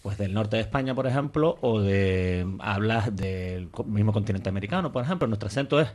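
A man's voice: on the low side, expressive, a little fast, a little mellow. A Canarian man on a discussion panel argues his view with conviction. He is emphatic and opinionated, leaning on repeated words to drive his points home. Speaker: English teacher, panel guest.

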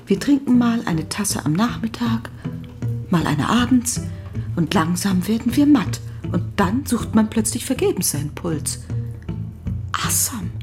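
An actress playing a morbid, mocking lady in a radio play, with a drawn-out, theatrical delivery. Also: mid-range voice, expressive, close to an everyday voice. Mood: morbid musing. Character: morbid, theatrical lady resident of a suicide hospice. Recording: music under the voice.

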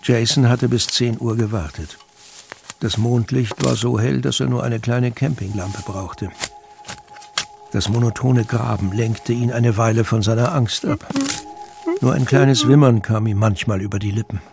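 A man's voice: mid-range voice, fairly even intonation, measured pace, creaky. A man narrating a scripted audio drama in German as the storyteller. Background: music behind the voice.

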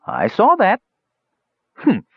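A man voicing a playful, fast-talking dwarf juggler with theatrical, wheedling patter for an audiobook. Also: on the high side, huge pitch swings, no room sound, dull audio, pitched above the performer's own voice. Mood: crowing. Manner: rambling, theatrical salesman patter. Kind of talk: audiobook character voice.

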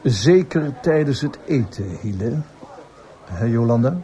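Radio drama lead: male actor as commanding police inspector, mid-pitched, wry and in charge. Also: expressive, measured pace, dark tone, close to an everyday voice. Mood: genial. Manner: authoritative, dryly ironic.

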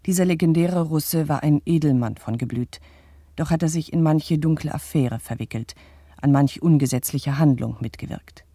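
An actor playing a pompous, indignant bourgeois narrator of the 1870s, addressing the public in formal, old-fashioned, declamatory phrases in a radio play. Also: male voice, a little high, normal speed, warm tone, husky, dry recording, clean studio sound. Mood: solemn.

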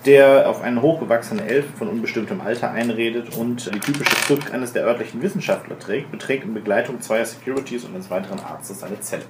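A man narrating a tabletop roleplaying game as game master, improvising in a halting way. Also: mid-range voice. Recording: echoey room with clear background noise.

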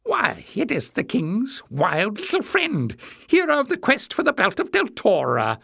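A man's voice: very high voice, big pitch swings, unhurried, vocal fry, a bit bright, dry recording, studio quality, pitched above the performer's own voice, exaggerated voice. A man voicing a small, malicious former acrobat in a story, sly and jeering with mock courtesy. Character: malicious little man, former palace acrobat, mocking and spiteful.

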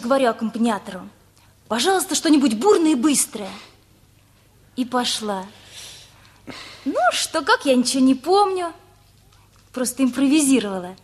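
An actress playing a lively, chatty girl just out of school, telling things artlessly in a radio play, with a husky voice. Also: fairly high pitch. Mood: excited, boastful.

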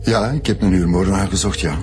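A man's voice: low-pitched, steady tone, fast talker, darkish tone, soft background music, lightly acted. An actor with a Flemish accent playing a cynical, crooked male building contractor in a radio drama, blunt and scornful. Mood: cynical, resigned.

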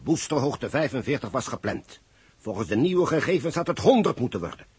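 An actor playing an insistent, exacting chief engineer in 1960s radio drama, with a Netherlands Dutch accent. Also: male voice, mid-range voice, big pitch swings, a little fast, darkish tone, husky, no room sound. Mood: insistent, troubled. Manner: emphatic, insistent.

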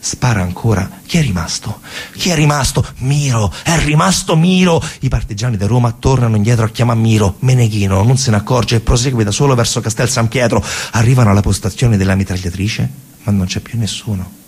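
A man with a Roman accent delivering a dramatic theatre monologue in a colloquial, emphatic way, his voice husky and gravelly. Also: mid-range voice, brisk, darkish tone. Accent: Roman Italian. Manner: colloquial, emphatic storytelling with repeated phrases. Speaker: stage actor and storyteller.

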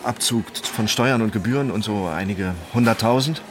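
A man's voice: fairly low pitch, moderate pace, background noise, close to an everyday voice. An actor playing an awkward, aimless man, speaking hesitantly and naively in naturalistic radio drama dialogue. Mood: halting. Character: awkward, aimless man who has just become rich. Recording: music bed behind the voice.

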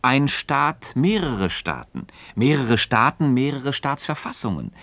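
An actor playing an earnest philosopher in a literary radio dialogue, speaking formally and didactically, building each point with emphasis. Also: male voice, medium pitch, big pitch swings, a little slow, a bit bright, dry recording. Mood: emphatic, reasoning. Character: earnest, insistent male philosopher leading a Socratic dialogue. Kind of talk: German literary radio play dialogue, formal stage diction.

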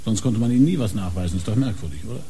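An actor playing a dogged, impatient police inspector in a radio crime drama, probing and suspicious, with a husky, muted voice. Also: male voice, lowish voice, animated, rapid-fire, mellow. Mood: musing, suspicious. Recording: reverberant hall-like radio drama scene.

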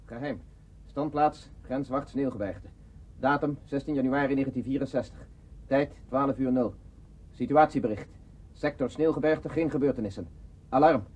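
An actor playing a tense, dutiful border-guard lieutenant in a radio play, clipped and military, with a husky voice. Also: male voice, mid-range voice, normal speed, darkish tone, close to an everyday voice. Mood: official, measured.

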